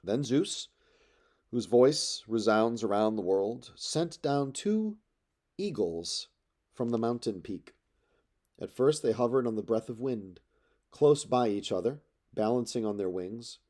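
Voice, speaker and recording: A male narrator reads an epic poem aloud. His timbre is dark and mellow, and he phrases each line in a measured, dramatic way. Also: medium pitch, lively intonation. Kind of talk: expressive read-aloud of epic poetry.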